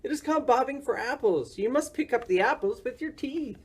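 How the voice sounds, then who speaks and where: An excitable storybook character, put on by someone reading aloud to children. The voice jumps high and swings widely, exasperated and insistent, as if crying out to a friend. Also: a little fast, breathy edge.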